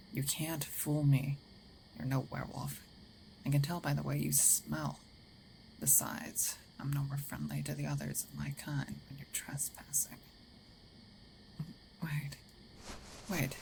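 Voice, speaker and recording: A gruff female werewolf played by a woman speaks very low, with a gravelly creak. She is a territorial yet caring protector, awkward and hesitant, often sinking into half-whispers. It is an intimate ASMR roleplay with faint ambience behind the voice. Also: warm tone, slight background noise, rough recording. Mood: territorial, menacing, then concerned.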